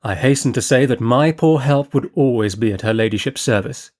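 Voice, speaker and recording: Guy reading an old story out loud, mid-pitched voice, steady and formal. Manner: measured, earnest, formal. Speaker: audiobook reader. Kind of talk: scripted narration of a Victorian short story.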